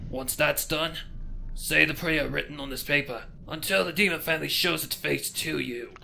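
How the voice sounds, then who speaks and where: A man voicing a solemn pony who knows demon lore, speaking gravely and deliberately in a fan fiction reading. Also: fairly high pitch, bright, forceful tone, slight background noise, exaggerated voice. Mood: solemn, instructing.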